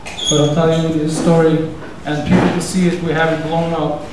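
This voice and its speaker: A man's voice: a little high, little pitch movement, normal speed, noisy background. Casual man in a very echoey hall. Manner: casual, rambling, conversational. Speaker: event organizer and host. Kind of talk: introductory speech. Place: synagogue hall. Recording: very echoey hall, distant mic.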